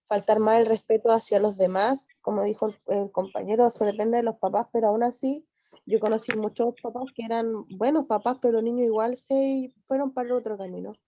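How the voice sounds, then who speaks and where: A woman's voice: a little high, fairly even intonation, fairly quick, mellow. A woman in her twenties with a Chilean accent, an adult student speaking earnestly in class, with many restarts. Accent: Chilean Spanish. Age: 20s. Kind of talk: class discussion.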